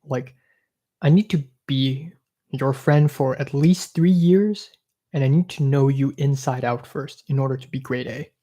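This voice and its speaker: A man's voice: medium pitch, steady tone, measured pace, warm tone. A young man from Hong Kong with an American accent, chatting casually on a livestream and answering viewer questions with blunt, self-mocking remarks.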